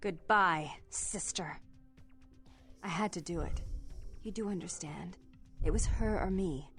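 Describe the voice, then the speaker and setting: A woman's voice: fairly low pitch, measured pace, fairly bright tone, faint music behind. An actress playing a cold, controlling vampire businesswoman in a video game, speaking in a formal, composed, condescending way. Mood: solemn. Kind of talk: video game character acting.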